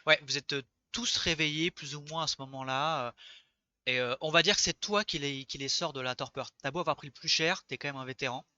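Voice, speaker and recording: A man acts as game master for a tabletop role-playing game. His voice is very bright and forceful, and he speaks informally. The sound is dry but a little lo-fi. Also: fairly high pitch, a little fast, no echo. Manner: informal, conversational.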